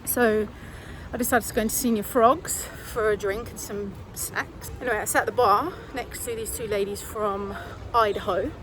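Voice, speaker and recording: A woman with a British accent, chatting casually and self-mockingly to her phone camera, laughing often, her voice a little croaky and sleepy. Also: mid-range voice, fairly even intonation, normal speed, background noise. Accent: British English. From England. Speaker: vlogger. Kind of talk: casual vlog chat.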